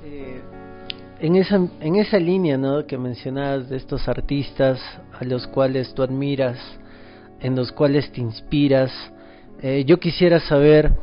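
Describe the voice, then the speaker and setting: A man asking conversational interview questions on a podcast, in a dull recording. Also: mid-range voice. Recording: dull, lo-fi sound.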